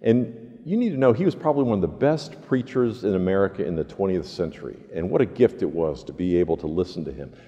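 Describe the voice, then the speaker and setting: A man in his sixties preaching a reflective, conversational sermon as a pastor, earnest and deliberate with emphatic pauses. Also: deep voice, animated, warm tone. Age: 60s. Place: large empty church sanctuary, indoors. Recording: reverberant church hall, slightly muffled.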